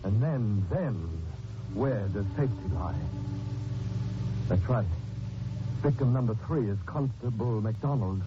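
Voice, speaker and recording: A man's voice: on the low side, unhurried, pauses often, very noisy, close to an everyday voice. A man hosting and narrating a 1940s radio mystery in an ominous, theatrical manner. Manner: ominous, theatrical, addressing characters directly. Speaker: host and narrator. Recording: muffled 1940s radio recording with loud music.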